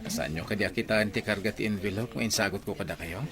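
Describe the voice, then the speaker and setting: A man's voice: on the low side, fast, crowd noise, lightly acted. A man with an Ilocano accent acting a devoted, earnest grown son in a radio drama. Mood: warm, eager.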